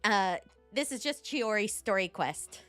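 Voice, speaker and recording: A woman giving casual live commentary on a game playthrough, playful and excitable. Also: medium pitch, measured pace, dry recording, faint music behind. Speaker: game streamer.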